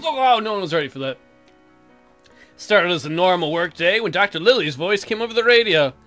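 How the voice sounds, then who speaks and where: An irreverent gamer reading a visual novel aloud with crude, animated joking commentary. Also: mid-range voice, fairly quick, soft background music. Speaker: let's player. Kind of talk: joking playthrough commentary and dramatic read-aloud.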